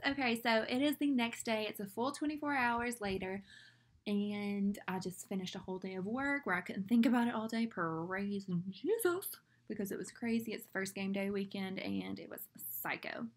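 A woman's voice: on the high side, normal speed. Young Southern woman, casual rambling vlogger, webcam in a small room. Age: young adult. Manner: chatty, rambling, fillers like "like". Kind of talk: casual talk to camera.

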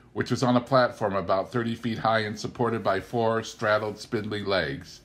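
Middle-aged male book narrator, slightly low register, unhurried scripted storytelling. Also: fairly bright tone. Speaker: audiobook reader.

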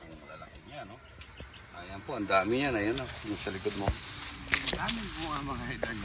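A man's voice: lowish voice, very animated, moderate pace. A middle-aged man with a Kapampangan accent touring his farm outdoors in a casual vlog, chatting to viewers as he walks. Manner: chatty, keeps calling viewers idol. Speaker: vlogger showing his farm. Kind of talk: casual walk-and-talk vlog. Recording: loud outdoor noise.

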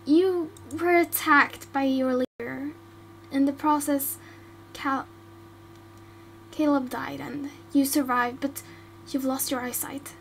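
Girl doing a tired witch healer lady, high voice, slow and blunt, faint hum behind. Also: steady tone, creaky, exaggerated voice, poor audio. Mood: weary. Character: stern weary female witch healer.

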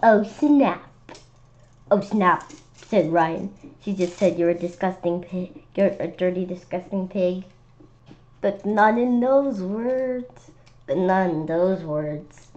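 Slow, halting woman reading aloud. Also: on the low side. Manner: slow, halting read-aloud with restarts and lively character voices. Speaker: children's book reader. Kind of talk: reading a picture book aloud. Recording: slightly dull lo-fi sound.

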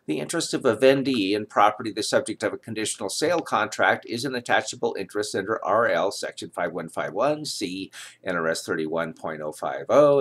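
Low-voiced older man reading with lively intonation. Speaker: reader of his own legal reference book. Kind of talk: reading legal text aloud. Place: home study lined with bookshelves, indoors.

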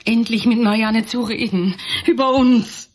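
An actress playing an anguished woman in a radio crime drama, confessing and pleading in a halting, emotional way. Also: a little high, steady tone, a little mellow, dry recording. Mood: distressed, halting. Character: anguished woman lover confessing under police questioning.

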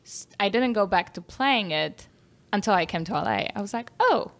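A Polish-accented woman in her thirties talks into a boom mic in a small home studio. She chats freely, breaking into laughter and playing out other people's lines in bouncy, exaggerated voices. Her speaking pitch sits in the middle for a woman. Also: normal speed, creaky. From Poland. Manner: laughs often, acts out quotes with animated voices. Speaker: guest actor. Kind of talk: casual interview chat. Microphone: boom mic, headphones.

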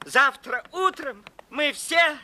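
Eager little cartoon boy inventor, forceful bright voice, excited lecturing, unhurried pace. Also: high voice, heavily acted. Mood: eager, explaining. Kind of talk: animated cartoon acting.

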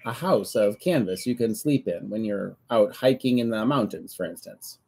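A man's voice: medium pitch, expressive, moderate pace, mellow, breathy edge. A man voicing a patient, kindly old farmer in a storybook reading. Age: older. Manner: patient, a little fussy.

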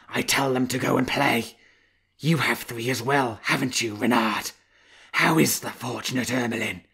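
Lady Ursa, a raspy she-wolf, as a man puts her on. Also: medium pitch, fairly bright tone, heavily acted. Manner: talks quickly, gushing and breathless. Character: chattering young female she-wolf, nervous and amorous. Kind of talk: storybook reading.

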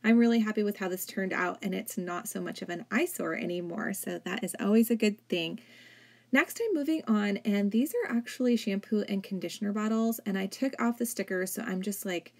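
A woman's voice: mid-range voice, brisk, a little mellow. A woman in her thirties, a home vlogger, narrating casually over her cleaning footage, chatty and full of "like". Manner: chatty, filler-heavy with frequent "like". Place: home, indoors.

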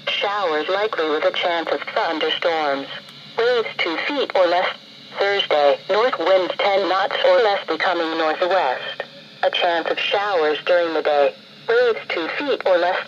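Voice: mid-range voice, a little slow, a bit bright. Muffled synthetic radio announcer. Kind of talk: scripted marine forecast reading. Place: played through a handheld radio indoors. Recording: muffled, noisy weather radio broadcast.

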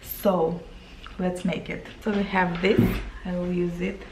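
A woman's voice: on the low side, flat delivery, unhurried, background noise. Chatty Romanian-accented woman, echoey room. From Moldova. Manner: chatty, searching for words, with fillers. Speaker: face painting vlogger. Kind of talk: casual talk to camera while painting. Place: small room indoors.

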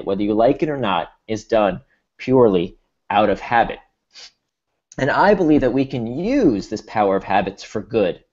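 A man's voice: medium pitch, darkish tone. American-accented man presenting an online workshop. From Israel. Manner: explanatory, repeats words when restarting. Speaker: workshop speaker. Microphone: video call. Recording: dull lo-fi video call audio.

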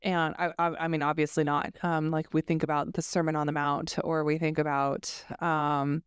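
A woman with a lower voice co-hosts a church women's study talk. She rambles warmly through her thoughts, full of restarts and fillers. Her voice comes through very clear and dry, close to the mic. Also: flat delivery, normal speed, no room sound. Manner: rambling, many fillers and restarts, laughs easily. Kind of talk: casual faith discussion.

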